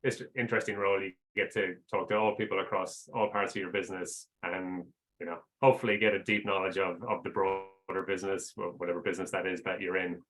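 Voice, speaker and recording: Deep-voiced man answering interview questions. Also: poor audio. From outside Australia. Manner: relaxed, with many "uh" and "um" fillers. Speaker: investor relations head, guest. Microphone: video call.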